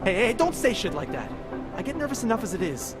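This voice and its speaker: A paranoid covert agency man in a video game. His voice sits fairly high for a man, and he talks in a nervous, wry, fretful way. Music plays clearly underneath. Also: sing-song, quick pace. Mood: anxious. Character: anxious, paranoid male covert agency colleague, sardonic. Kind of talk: video game cutscene acting.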